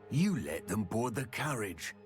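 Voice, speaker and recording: Scornful goblin warlord for a fantasy game, low-set and menacing, faint music underneath. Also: male voice, big pitch swings, unhurried. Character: menacing, contemptuous male goblin rebel leader. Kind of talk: video game acting.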